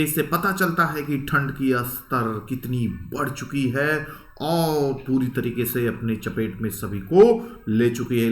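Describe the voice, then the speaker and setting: A man teaching an informal online exam lecture, explaining step by step, repeating phrases and often checking that students follow. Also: medium pitch. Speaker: history teacher for competitive exams. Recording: echoey room, distant microphone.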